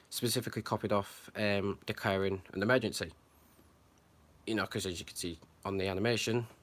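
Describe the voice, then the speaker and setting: A man with a fairly low voice talks off the cuff about a matter that worries him. He stops and restarts often, filling gaps with ums. His tone stays earnest and concerned. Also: a bit bright. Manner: hesitant, many fillers and restarts. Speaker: channel host. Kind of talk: unscripted commentary to camera.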